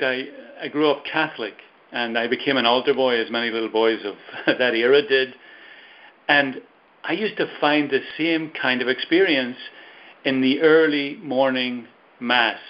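Older Belfast man on a muffled call. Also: medium pitch. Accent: Belfast Irish. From Northern Ireland. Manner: thoughtful, unhurried, with soft laughs. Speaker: guest. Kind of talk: reflective storytelling. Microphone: video call. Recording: dull lo-fi call audio.

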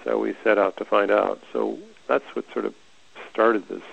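Soft-spoken low-voiced man, halting. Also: fairly even intonation, vocal fry, slightly rough, no room sound, dull audio. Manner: hesitant, halting with many restarts. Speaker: guest. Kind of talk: conversational call discussion. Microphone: remote call audio.

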